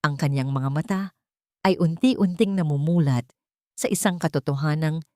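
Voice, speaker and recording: A woman's voice: low voice, normal speed, warm tone, no room sound, clean studio sound. A woman reading a scripted dramatic story in a solemn, melodramatic manner. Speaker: storyteller narrator.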